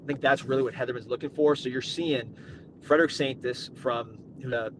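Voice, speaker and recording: A male podcast host breaking down football recruiting in a loose, rambling way, often restarting his sentences and drifting into asides. His voice sits at an ordinary pitch. Clear background noise hums under the recording. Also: fairly even intonation, normal speed. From Cuban heritage. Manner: rambling, self-interrupting, conversational. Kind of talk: casual sports podcast chat.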